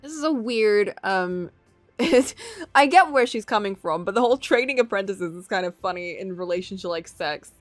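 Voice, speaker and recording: A woman's voice: mid-range voice, expressive, moderate pace, no room sound, faint music behind. A woman casually livestreaming a visual novel, chatting and thinking aloud as she goes. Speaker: streamer playing a visual novel. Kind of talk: casual livestream chat and reading game text aloud.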